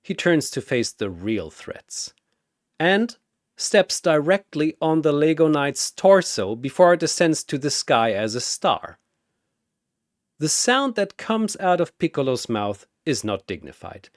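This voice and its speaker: Male narrator for fantasy battle story: theatrical, measured and slow, in a dry recording. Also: fairly high pitch, big pitch swings, no room sound. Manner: theatrical, deliberate. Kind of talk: dramatic scripted battle narration.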